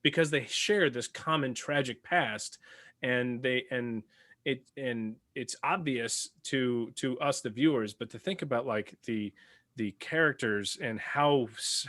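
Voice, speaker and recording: A man's voice: medium pitch, a little slow, fairly bright tone, no echo, clean studio sound. A man talking casually and emphatically as a panelist in a fan discussion of a TV series. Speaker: podcast panelist.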